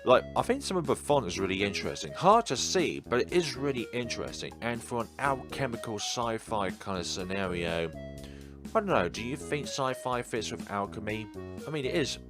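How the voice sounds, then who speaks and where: A man's voice: mid-range voice, very animated, normal speed. A man hosting a let's play, reading a visual novel aloud with casual commentary. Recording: music playing behind the voice.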